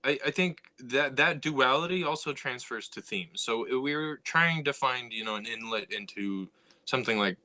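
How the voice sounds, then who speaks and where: A man's voice: mid-range voice, moderate pace, bright, forceful tone. A man talking casually and thoughtfully about storytelling, reflecting aloud with frequent "you know" and "like". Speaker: writer and co-host. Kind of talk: casual podcast chat.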